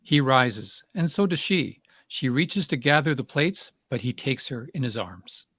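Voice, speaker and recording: A man's voice: mid-range voice, lively intonation, unhurried, a bit bright, husky, no room sound. A man reading stage directions as scripted narration for a play.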